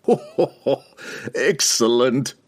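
Man playing a gloating villain with a rough, swooping voice. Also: mid-range voice, wildly swooping pitch, voice pushed up, full character voice. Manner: theatrical, commanding, drawn-out menacing delivery. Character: cruel, gloating male guildmaster villain, imperious and volatile. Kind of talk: fantasy audiobook character acting.

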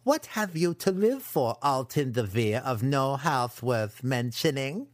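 A man voicing a sly, cruel young male dark elf apprentice in an audiobook, taunting as he schemes. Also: mid-range voice, big pitch swings, a little slow, no room sound, clean studio sound, voice pushed up. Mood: teasing threat. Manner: taunting, matter-of-fact scheming. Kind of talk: audiobook character acting.

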